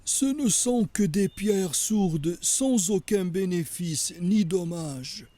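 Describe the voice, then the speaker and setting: An actor playing a pious, solemn sheikh in a French cartoon, preaching in a grave, measured, husky voice. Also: male voice, high-pitched, animated, warm tone, no echo. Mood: solemn teaching. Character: pious, solemn sheikh teaching about the prophet.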